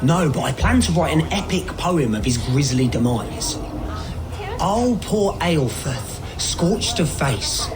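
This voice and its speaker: An actor playing a pompous male poet who declaims in a theatrical, drawn-out way. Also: on the high side, very animated, exaggerated voice. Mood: declaiming.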